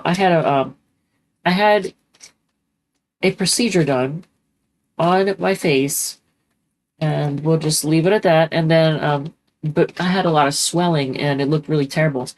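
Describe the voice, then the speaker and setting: A woman with a congested voice from a cold, chatting loosely and rambling as she reads tarot cards. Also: sits low. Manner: rambling, chatty, self-correcting with many ums. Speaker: tarot reader. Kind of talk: casual tarot reading.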